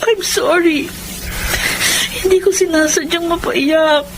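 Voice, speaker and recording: An actress playing a shy, desperate young woman in a Filipino radio drama, soft, hesitant and humbly apologetic. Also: very high voice, steady tone, slow, noisy background, exaggerated voice. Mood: apologetic, embarrassed. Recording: music bed behind voice.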